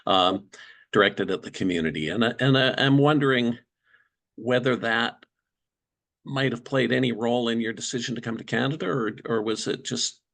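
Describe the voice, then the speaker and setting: A man interviewing a guest, asking long, polite questions at a measured pace. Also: medium pitch, vocal fry, fairly bright tone.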